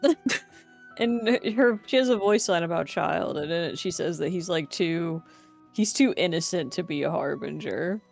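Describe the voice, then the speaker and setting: A woman's voice: fairly low pitch, lively intonation, moderate pace, vocal fry. A woman guesting on a game stream, chatting casually in a slightly hesitant, rambling way. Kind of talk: casual chat over a game. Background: game music.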